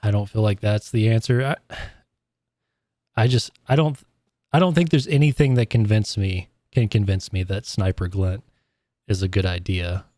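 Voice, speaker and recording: A man's voice: on the low side, moderate pace, dark tone, no echo, clean studio sound. A man co-hosting a podcast in casual chat, opinionated and arguing his point with hesitant restarts. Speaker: podcast co-host.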